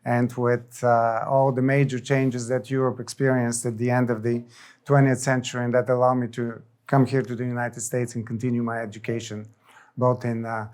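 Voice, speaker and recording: A middle-aged man from Bulgaria with a Bulgarian accent, giving a conversational slide lecture to a library audience, often pausing on fillers and restarting sentences. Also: mid-range voice, a little mellow. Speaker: orchestra music director giving a talk. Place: library event room with an audience.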